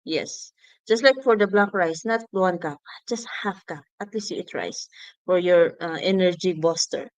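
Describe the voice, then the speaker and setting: A woman's voice: on the low side, normal speed, no room sound. A woman from the Philippines with a Filipino accent, speaking English as a second language, chatting and explaining as co-host of a casual livestream.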